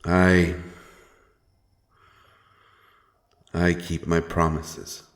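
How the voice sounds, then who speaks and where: A man playing a stern, menacing but honourable monster hunter in a dark fantasy audio drama, speaking in solemn, archaic phrases. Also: sits low, flat delivery, slow. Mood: grim warning. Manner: archaic, solemn, threatening speech. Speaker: audio roleplay performer. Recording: music behind the voice.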